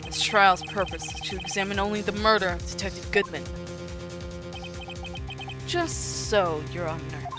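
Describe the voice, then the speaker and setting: Woman putting on a restrained, lowered prosecutor voice. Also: normal speed, pauses often, close to an everyday voice. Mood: measured. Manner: restrained, formal. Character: composed, formal male prosecutor in a courtroom game. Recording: music playing behind voice.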